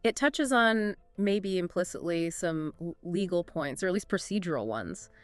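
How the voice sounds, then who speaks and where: Female co-host, smooth clear voice, animated storyteller, dry close recording. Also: fairly low pitch, normal speed, no echo, soft background music. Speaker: podcast co-host. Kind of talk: scripted two-host discussion.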